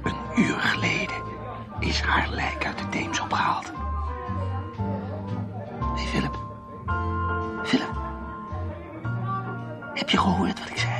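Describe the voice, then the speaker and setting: An actor playing a gleeful, theatrically polite hired killer in a radio drama, with animated, drawn-out phrasing. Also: male voice, a little high, over music, exaggerated voice. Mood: eager, insistent.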